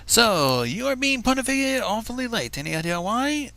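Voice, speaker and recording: A man's voice: very high-pitched, very animated, moderate pace, creaky, sharp tone, pitched above the performer's own voice, exaggerated voice. A man voicing a prim, theatrical female cartoon pony with a fussy, affected, genteel manner. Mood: breezy, reassuring.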